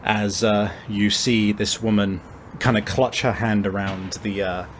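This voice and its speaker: Casting: male game master, lowish pitch, conversational and halting improvised narration, audible background ambience. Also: flat delivery, a little hiss or hum. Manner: halting, conversational, frequent searching pauses.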